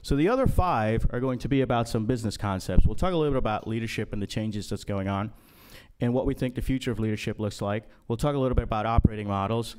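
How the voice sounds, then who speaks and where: Low-voiced man, joking conference talk. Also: quick pace, a little mellow, clean tone. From Guyana. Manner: casual, joking, many ums and uhs. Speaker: conference speaker. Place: conference room.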